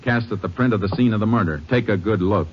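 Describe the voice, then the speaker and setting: A man playing a deep-voiced, terse Texas Ranger. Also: quick pace, lightly acted. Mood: pressing. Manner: terse, clipped questioning. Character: steady male Texas Ranger interrogator and narrator. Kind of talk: 1950s radio drama acting. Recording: dull old radio recording with faint hiss.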